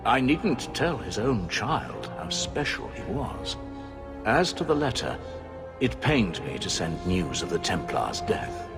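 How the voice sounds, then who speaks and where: Solemn, slow, swooping older man. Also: fairly low pitch, very animated, heavily acted. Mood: grave, measured. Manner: measured, formal, grave. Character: solemn, cryptic older man, an old family friend. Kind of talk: dramatic fantasy game voice acting. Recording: music clearly behind. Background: music.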